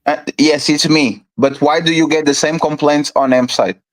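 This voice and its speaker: A man's voice: fairly high pitch, steady tone, moderate pace, low-quality recording. A man hosting a podcast debate, pressing his guest with repeated questions and talking over him. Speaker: podcast host. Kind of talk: heated debate.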